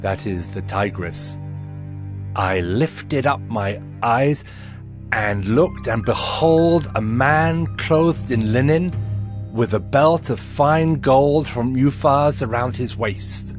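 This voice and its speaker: Man as a slow, reverent prophet over music. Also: mid-range voice, lightly acted. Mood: grave, awestruck. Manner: grave, reverent, deliberate. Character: Daniel, male Hebrew prophet, humble and awestruck, recounting visions. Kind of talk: dramatized scripture storytelling. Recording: clear music bed behind voice.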